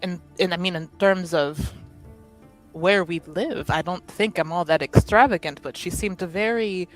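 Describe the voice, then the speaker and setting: A woman's voice: on the low side, unhurried, faint music behind, close to an everyday voice, rough recording. A woman playing a well-dressed young noblewoman in a tabletop game, snobbish yet earnest and polite, talking in a chatty, rambling way. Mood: haughty, chatty. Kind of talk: improvised in-character tabletop roleplay.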